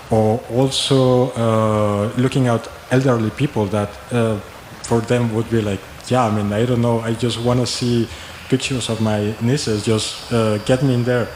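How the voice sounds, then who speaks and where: A man's voice: medium pitch, a little mellow. Rambling man asking from the audience. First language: not German. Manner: rambling, many fillers. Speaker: audience questioner. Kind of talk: audience question at a talk. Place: conference room. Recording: echoey hall, faint noise.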